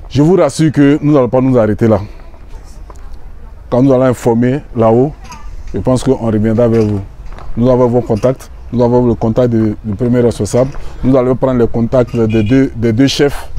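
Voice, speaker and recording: Ivorian man, very mellow tone, earnest open-air speech with repeats. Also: medium pitch, a little hiss or hum. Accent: Ivorian French. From Côte d'Ivoire. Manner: earnest, repeats phrases, halting restarts. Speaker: visiting association official. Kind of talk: emotional outdoor speech to a community. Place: outdoor urban neighborhood gathering.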